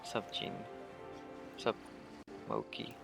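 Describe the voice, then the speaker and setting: A man's voice: drawn-out words, over music. A man livestreaming a game, chatting casually and greeting viewers in an offhand way. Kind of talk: casual game stream chat.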